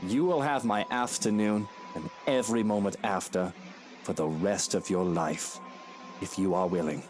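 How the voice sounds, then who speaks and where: An actor playing a suave aristocratic husband in a visual novel, speaking formally with earnest, coaxing phrasing. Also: male voice, low-pitched, normal speed, noisy background, lightly acted. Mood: earnest, contrite. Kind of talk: scripted visual novel voice acting.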